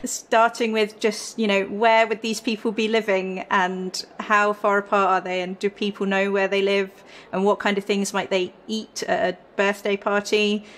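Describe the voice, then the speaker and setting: A woman's voice: medium pitch, flat delivery, moderate pace, few pauses, a bit bright. A woman historian talking casually as an interview guest.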